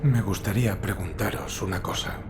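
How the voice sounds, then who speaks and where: A man voicing a stoic, loyal shinobi retainer in a video game, terse and grave, with a gravelly voice. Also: fairly low pitch, moderate pace, background noise, close to an everyday voice. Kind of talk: dubbed video game cutscene acting.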